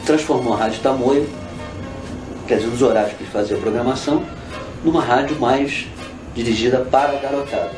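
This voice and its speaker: A Brazilian man with a medium pitch shares anecdotal recollections as an interviewee. He sounds roomy and away from the microphone, with music clearly playing behind him. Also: brisk, long pauses, far from the mic. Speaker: interviewee recalling radio history.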